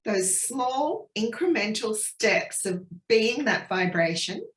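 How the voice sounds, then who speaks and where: A woman from Sri Lanka, a spiritual healer, talking gently and earnestly in a casual interview over a video call. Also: fairly high pitch, very animated, slow pace, roomy sound, rough recording. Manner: gentle, earnest, flowing, with soft restarts.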